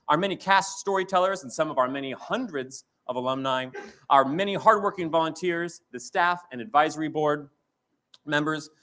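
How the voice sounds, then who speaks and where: A man's voice: on the high side, normal speed, a bit bright. Man giving a gracious formal welcome. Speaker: co-host.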